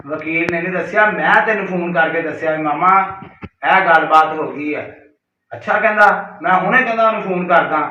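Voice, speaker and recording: A man delivering an aggrieved personal monologue, reproachful and emphatic, repeating himself as he addresses someone directly. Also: a little high. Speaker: caller leaving a recorded personal message. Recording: roomy, distant, dull call recording.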